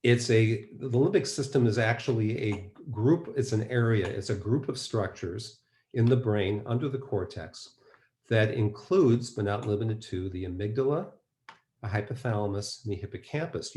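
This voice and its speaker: A man's voice: lowish voice, mellow. A man presenting a webinar in a conversational, explanatory way, posing rhetorical questions as he teaches. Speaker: presenter, mind-body medicine practitioner. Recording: roomy, echoing webinar audio.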